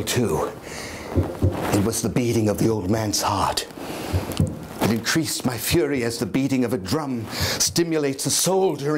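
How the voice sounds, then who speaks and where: Older man playing a slow, high-pitched, mad murderer. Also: slight background noise, natural voice. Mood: tense, confiding. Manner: intense, deliberate, swinging from hushed to shouting. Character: mad, nervous murderer confessing with gleeful cunning. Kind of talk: theatrical dramatic reading of gothic horror. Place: indoor room in an old house, before small audience.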